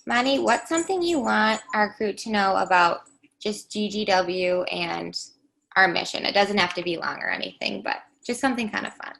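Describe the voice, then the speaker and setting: A woman in her twenties co-hosting a podcast, bubbly and upbeat, with a high voice, chatting casually. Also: sing-song, normal speed, creaky, fairly bright tone, rough recording. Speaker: podcast co-host. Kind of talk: casual chat.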